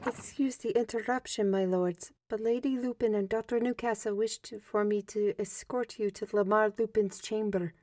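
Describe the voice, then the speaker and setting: A woman's voice: medium pitch, fairly even intonation, moderate pace, few pauses, a little mellow, no echo, close to an everyday voice. A woman playing a deferential young castle servant who hesitates and searches for words. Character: young female castle servant, polite and flustered.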